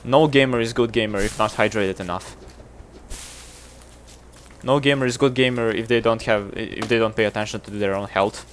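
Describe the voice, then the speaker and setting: A man with a Serbian accent and a slightly low voice rambles casually to his stream chat, with a faint hiss of noise behind him. He speaks English as a second language and is chatty and self-deprecating. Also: fairly even intonation, moderate pace, long pauses. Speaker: game streamer.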